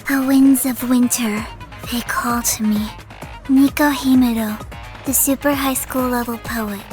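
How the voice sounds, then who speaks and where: A woman voicing a whimsical teenage schoolgirl in an audio drama, theatrical and drawn-out. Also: on the high side, fairly even intonation, darkish tone, faint music behind, natural voice. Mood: wistful.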